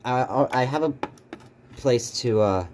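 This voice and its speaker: A man hosting a casual, unscripted unboxing video, speaking haltingly and muttering to himself as he goes. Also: medium pitch, darkish tone, a little hiss or hum. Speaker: video host doing an unboxing.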